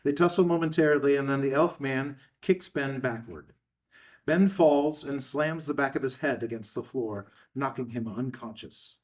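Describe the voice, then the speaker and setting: A man's voice: medium pitch, normal speed. A man reading scene headings and action lines as the narrator of a screenplay table read. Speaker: stage-direction narrator.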